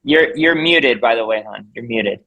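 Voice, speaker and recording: A man whose first language is Spanish hosts a casual video call, welcoming members with a chatty, rambling, upbeat manner. His voice sits at a middle pitch. The sound is dry and close, with almost no room echo. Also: normal speed, pushed and bright, highs cut off. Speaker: community call host.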